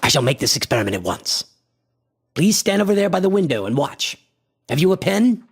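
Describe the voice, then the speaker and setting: An actor playing an obsessed, imperious antiquarian in a radio horror drama, breathy and husky with grandiose, formal delivery that often sinks toward a whisper. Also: male voice, a little high, big pitch swings, full character voice. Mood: intent, commanding. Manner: grandiose, deliberate, formal diction. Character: obsessed, imperious male antiquarian experimenting with a mystical drug. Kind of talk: theatrical radio horror acting.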